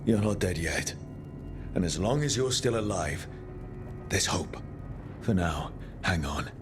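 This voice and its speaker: Low-voiced, resolute male hero. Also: expressive, slow pace, over music. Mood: reassuring. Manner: earnest, resolute. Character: steady, determined heroic male leader rallying allies. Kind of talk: dramatic video game acting.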